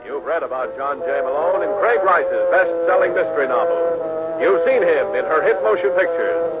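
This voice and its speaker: A man with an American accent announcing a program in dramatic 1940s radio style. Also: on the high side. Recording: dull old broadcast, loud music.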